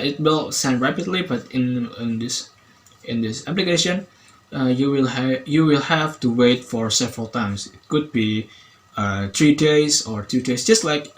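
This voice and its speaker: A man with an Indonesian accent giving a casual review, speaking haltingly and often rephrasing as he searches for words. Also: mid-range voice, fairly bright tone. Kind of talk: casual app review to camera.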